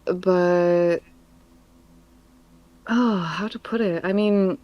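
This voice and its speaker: A Welsh woman chats on a stream, speaking slowly and taking her time over her thoughts. Her voice sits on the low side, and she breaks into giggles now and then. She talks as a scriptwriter. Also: lo-fi sound. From Wales. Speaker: audio drama scriptwriter. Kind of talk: casual live stream chat.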